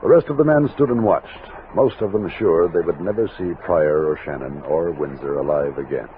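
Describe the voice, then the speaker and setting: An actor playing a steady army captain who narrates and gives firm orders in a 1960s Western radio drama. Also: male voice, very deep voice, normal speed. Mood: measured, reflective. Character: steady commanding army captain leading a frontier expedition. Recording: thin lo-fi old radio recording, hiss.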